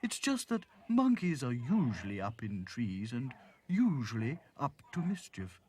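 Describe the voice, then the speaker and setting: A slow, hesitant giraffe from a children's storybook, acted out by a man. He is polite yet a little suspicious, drawing out his phrases with a very animated rise and fall. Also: mid-range voice. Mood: apologetic. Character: mildly surprised male giraffe, suspicious but polite.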